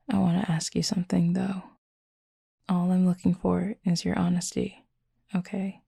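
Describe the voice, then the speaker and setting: Woman voicing a husky, gravelly, flirty werewolf woman. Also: lowish voice, dry recording, studio quality, heavily acted. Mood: gentle, serious. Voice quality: breathy, husky, fry-laden murmur. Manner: hushed, unhurried, teasing yet gentle. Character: flirty, caring female werewolf, confident and teasing. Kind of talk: intimate audio roleplay, close and slow.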